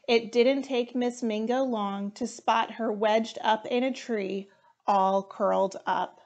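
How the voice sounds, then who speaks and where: Slow-reading, higher-voiced woman. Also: fairly even intonation, darkish tone. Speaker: firefighter reading storybooks aloud. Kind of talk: children's storytime read-aloud.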